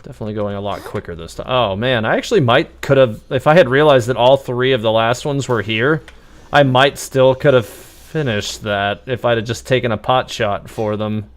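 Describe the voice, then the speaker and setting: A man's voice: mid-range voice, normal speed. A man streaming a video game, thinking aloud in easygoing, casual live commentary.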